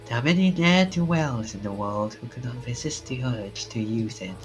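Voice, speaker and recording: A man voicing a formal, politely stern game character in a stiff, measured manner. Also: medium pitch, highs cut off, lightly acted. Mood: measured, grave. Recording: music under the voice.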